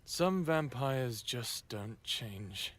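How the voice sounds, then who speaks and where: A man's voice: medium pitch, lively intonation, drawn-out words, a bit bright, heavily acted. A man playing a wry, defiant human hero in his late thirties, sardonic in both dialogue and narration of an audio drama. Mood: dry, defiant.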